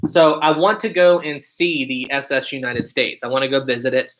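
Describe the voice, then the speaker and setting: Young man, deep voice, casual live stream host, chatty, reading out chat questions. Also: steady tone, moderate pace, a bit bright, rough recording. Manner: chatty, answers viewer questions one by one.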